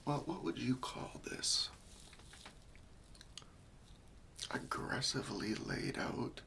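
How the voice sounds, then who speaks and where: A man playing a gentle, patient, faintly wry professional cleanup worker in a softly whispered, hesitant ASMR roleplay. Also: medium pitch, a little slow, vocal fry, slight background noise, exaggerated voice. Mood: wry, skeptical. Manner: soft, hesitant, soothing, politely tactful.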